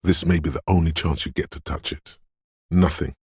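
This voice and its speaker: Game inner voice of intuition: extremely low, heavily rasping, dreamlike and ominous, lingering on poetic phrases. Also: male voice, moderate pace, darkish tone, no room sound, clean studio sound, exaggerated voice. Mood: eerie, wistful. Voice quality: husky, gravelly bass. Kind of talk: atmospheric second-person video game narration.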